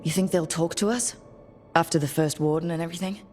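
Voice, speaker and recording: A determined female adventurer leading a party in a fantasy game, voiced by an actress. Her voice is very low, her delivery direct and level-headed, with music playing underneath. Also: steady tone, quick pace, darkish tone, close to an everyday voice. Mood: steady. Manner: direct, questioning. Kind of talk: video game dialogue.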